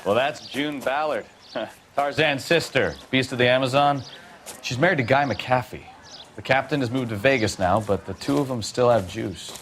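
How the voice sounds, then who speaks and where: A man's voice: mid-range voice, normal speed, a bit bright, close to an everyday voice. A male actor as a worldly 1940s American detective in a crime game. His mid-range voice is wry and gossipy, always ready with a wisecrack. Some noise runs behind him. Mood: wry, amused. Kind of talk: video game acting, 1940s police drama.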